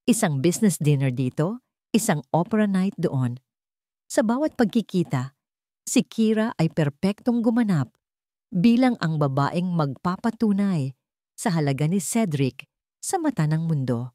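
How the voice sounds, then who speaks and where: A woman narrating a scripted romance story in a measured, dramatic way with deliberate pauses. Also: lowish voice, lively intonation, dark tone, no echo, clean studio sound.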